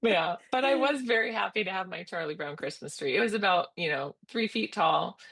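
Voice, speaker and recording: A woman's voice: mid-range voice, expressive, a little fast, vocal fry, fairly bright tone, slightly rough. A middle-aged woman, a podcast guest, telling a rambling story in a casual chat, full of fillers and restarts.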